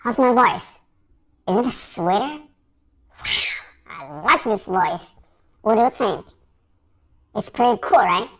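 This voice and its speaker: A man voicing a sweet feminine figure through a pitch-raising voice changer in a casual demo. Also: lively intonation, slow pace, long pauses, a bit bright, heavily acted. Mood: playful.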